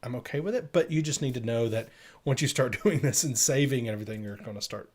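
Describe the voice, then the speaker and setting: A man's voice: medium pitch, quick pace, a little mellow. Man co-hosting a casual tech show. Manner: conversational, addresses listeners directly. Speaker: co-host of a tech update show. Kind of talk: casual live show talk, explaining software updates.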